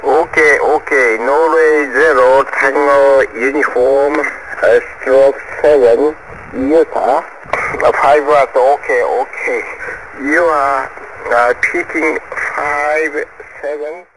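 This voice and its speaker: A man's voice: medium pitch, fairly even intonation, slow. A man making a ham radio contact, spelling out call signs with care, heard over a noisy radio signal.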